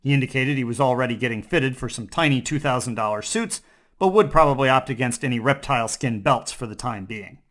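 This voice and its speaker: A man's voice: medium pitch, expressive, brisk, a bit bright. A man hosting a scripted comic newscast, delivering it in a mock-serious newsreader manner. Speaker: host and news anchor.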